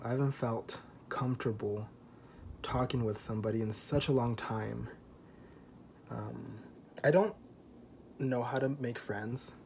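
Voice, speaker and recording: A man's voice: medium pitch, vocal fry, darkish tone, slight background noise. A man in his twenties talking candidly to camera in a rambling, self-conscious way, swearing often and saying he feels a little tipsy. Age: 20s. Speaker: vlogger. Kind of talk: candid confessional vlog.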